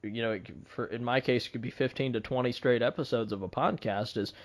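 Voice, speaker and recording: A man's voice: mid-range voice, fast talker. A man hosting a casual podcast conversation, speaking in an earnest, wordy way and often repeating himself. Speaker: podcast host.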